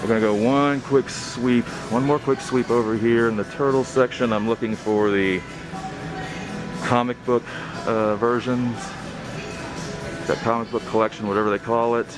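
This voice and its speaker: A man hosting a toy-hunting vlog, commenting casually and haltingly off the cuff as he browses inside a large store. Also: mid-range voice, fairly even intonation, music in the background.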